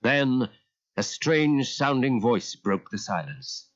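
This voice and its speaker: A man's voice: medium pitch, sing-song, slow pace. A man reading a scripted children's story as a dramatic narrator. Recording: dull lo-fi cassette transfer.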